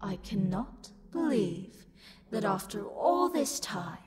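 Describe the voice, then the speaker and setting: A woman's voice: medium pitch, big pitch swings, takes it slowly, noisy background. A woman playing a haughty, sassy, livid female demon in a dramatic roleplay monologue, indignant and imperious. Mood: bitter, fed up. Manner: indignant, imperious, loud outbursts. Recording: long reverb, music behind the voice. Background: music.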